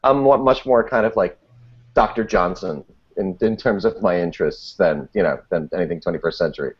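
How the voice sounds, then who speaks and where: Man, low-pitched voice, wry and self-deprecating, rambling interview chat, stop-start phrasing, muffled lo-fi sound. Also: brisk, slightly rough. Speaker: podcast guest. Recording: dull, muffled lo-fi audio.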